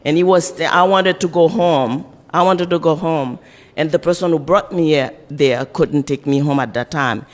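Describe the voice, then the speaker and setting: A woman's voice: deep voice, normal speed. Reverberant older woman with Haitian accent. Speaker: defendant's wife in court. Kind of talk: casual conversational answers. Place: courtroom. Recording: echoey courtroom with long reverb.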